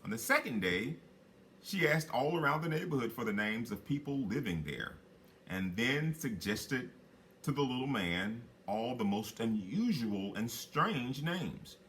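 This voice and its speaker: A man reading a children's fairy tale aloud as a storyteller, with dramatic, drawn-out phrasing for emphasis. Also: mid-range voice, big pitch swings, fairly bright tone, slight background noise. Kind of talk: expressive read-aloud fairy tale narration.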